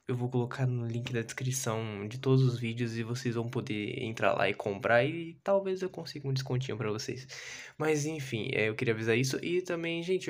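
A young man with a Brazilian Portuguese accent, rambling casually and playfully in a self-deprecating way, as in an informal vlog. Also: medium pitch. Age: young adult. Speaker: YouTuber. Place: small bedroom, indoors. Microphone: handheld camera or phone. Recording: noisy handheld camera audio with clicks.

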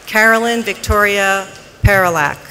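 An announcer reading formally at a graduation ceremony in a large arena, with measured, deliberate enunciation. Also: medium pitch, pushed and bright. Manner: measured, deliberate enunciation with pauses between names. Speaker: commencement name announcer. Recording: noisy arena PA with crowd behind. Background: crowd noise.

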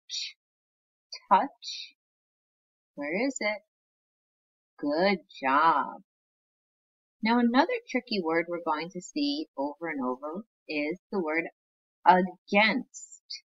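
Woman teacher, read-aloud for children, patient sounding out, lively pitch, dull lo-fi sound. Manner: slow, patient, sounding out words. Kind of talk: reading aloud to young children.

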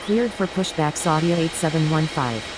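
A woman's voice: sits low, expressive, normal speed. Hissy, clipped woman acting as airport radio voice. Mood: businesslike. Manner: clipped, formulaic. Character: matter-of-fact airport radio controller in a simulation game. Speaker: air traffic control and pilot radio voice. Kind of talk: scripted aviation radio phraseology. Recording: hissy, clearly noisy radio-style audio.

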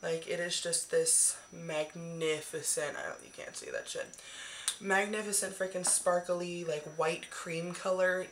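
A young woman in her twenties talks through her makeup favorites in a rambling, casual way, still hoarse from the flu. Her voice sits a little low and breaks into gravelly fry. She is recorded on a webcam in a small bedroom with a faint hiss behind her. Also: steady tone, unhurried. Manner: chatty, swears casually, rambling. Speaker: beauty vlogger. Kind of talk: casual monthly favorites chat. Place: small decorated bedroom, indoors. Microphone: webcam at arm's length.

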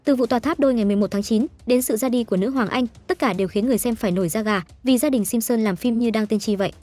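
A woman's voice: on the high side, racing, mellow. A woman reading scripted entertainment news as a narrator. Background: background music.

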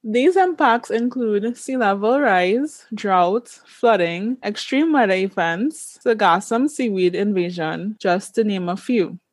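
A woman speaks as a podcast host. Her pitch is medium and her delivery is that of a prepared presenter. The sound is close and dry, with little room in it. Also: expressive, takes it slowly, few pauses, no echo. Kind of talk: scripted introduction and interview questions.

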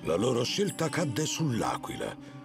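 A man playing a wise, kindly old bear who tells tales in a children's cartoon, with a gravelly, expressive voice. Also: mid-range voice, unhurried, over music, exaggerated voice. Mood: solemn storytelling. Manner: storytelling, expressive.

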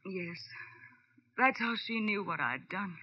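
A young American nightclub performer, guilty and desperate, in a 1940s radio melodrama. Her voice is husky and breathy with a strong emotional edge. The old recording is dull and narrow. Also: mid-range voice, lively intonation, takes it slowly. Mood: confessing, tense.

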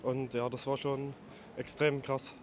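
A man's voice: mid-range voice, level tone, unhurried, a little hiss or hum. A young man answering a street interview outdoors, casual and candid. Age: young adult. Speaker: passer-by interviewee.

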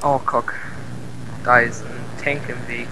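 A man's voice: mid-range voice, level tone, slow, noisy background. A man chatting casually as a co-player in a shooter game, laid-back and teasing, with a slight cold. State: slight cold, suppressing coughs. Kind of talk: casual gaming chat.

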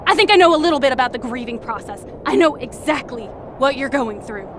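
A woman's voice: sits high, expressive, fairly quick, noisy background. A woman playing a fierce, grieving young woman in a comic dub drama, impassioned and scolding. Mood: earnest, pleading.

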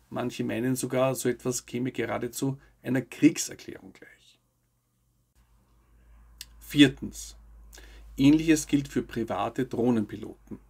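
Gravelly, husky middle-aged man presenting news. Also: mid-range voice, a little mellow. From Austria. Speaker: host of a weekly UFO news show. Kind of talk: scripted news commentary to camera.

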